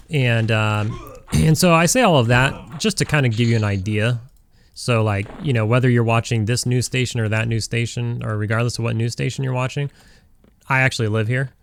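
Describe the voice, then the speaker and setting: A man's voice: mid-range voice, fairly even intonation, moderate pace, a little hiss or hum. A man in his forties from Southern California, chatting casually and rambling with lots of fillers while he plays a game. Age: 40s. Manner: rambling, many fillers and restarts. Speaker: gaming streamer.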